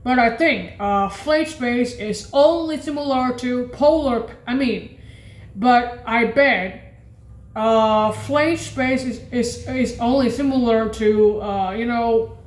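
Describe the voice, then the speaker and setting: A woman presents a sound demo in a fairly high-pitched voice at a very slow pace. She thinks aloud, halting often, repeating phrases and questioning herself as she goes. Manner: halting, repetitive, questioning, often muttering to self. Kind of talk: rambling demonstration commentary, thinking aloud.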